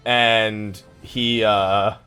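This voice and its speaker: A man's voice: fairly low pitch, little pitch movement, drawn-out words, sharp tone, clear, resonant tone, soft background music. A man giving casual let's play commentary, rambling and thinking aloud as he plays. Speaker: gaming YouTuber hosting a let's play.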